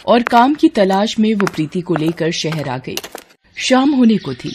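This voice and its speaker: A woman narrating a scripted story as a storyteller, in an expressive, dramatic manner. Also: fairly low pitch, background noise.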